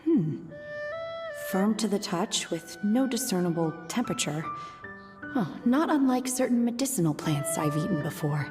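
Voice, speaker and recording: A woman playing a deadpan, aloof young woman. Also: mid-range voice, moderate pace, music in the background, lightly acted. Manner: deadpan, flat, matter-of-fact. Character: calm, aloof young woman, blunt and detached.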